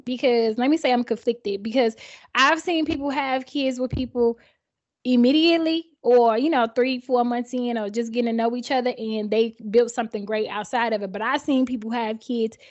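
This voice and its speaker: A woman with a somewhat higher voice chats in a relaxed way and thinks out loud as she talks. She sounds conversational and easygoing. The recording is a little dull and lo-fi. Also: moderate pace. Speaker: podcast co-host. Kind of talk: casual chat.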